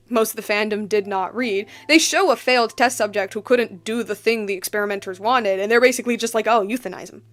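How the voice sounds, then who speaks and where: A woman giving casual, unscripted game commentary as a streamer, rambling and emphatic, often repeating her phrases. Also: medium pitch.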